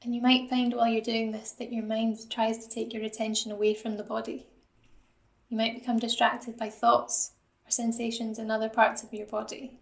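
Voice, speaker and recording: Female meditation guide, calm and instructional, gravelly fry at phrase level, roomy echoing recording. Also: a little high, moderate pace. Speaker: assistant psychologist guiding a meditation. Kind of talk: guided mindfulness body scan.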